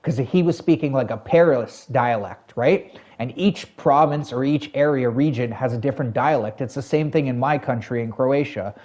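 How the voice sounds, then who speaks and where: A man's voice: mid-range voice, normal speed, few pauses, darkish tone. A Canadian man streaming a game, telling a long personal story to his chat in a rambling, casual way with many fillers. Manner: rambling, lots of fillers and self-corrections, talks to chat.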